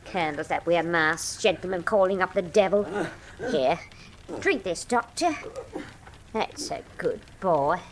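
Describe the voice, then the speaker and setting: A slow-talking, motherly Victorian landlady. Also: lowish voice, animated, noisy background. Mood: fussing, scolding. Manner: scolding, motherly. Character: fussy, motherly, scolding Victorian London landlady. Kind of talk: radio drama acting.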